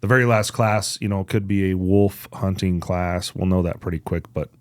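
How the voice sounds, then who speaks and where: A man's voice: low voice, steady tone, normal speed, clean tone, dry recording, studio quality. A man co-hosting a podcast, talking in a casual, conversational way. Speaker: podcast host. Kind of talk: casual chat.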